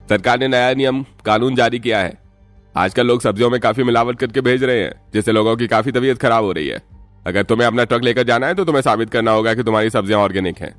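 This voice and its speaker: Casting: male performer as greedy bully policeman in a cartoon, quick, bossy, threatening, medium pitch. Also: no room sound, faint music behind, close to an everyday voice. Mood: bossy, demanding.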